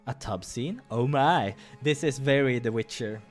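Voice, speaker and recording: A man's voice: medium pitch, measured pace, soft background music. A man hosting a game playthrough, reading visual novel narration and breaking off for casual asides.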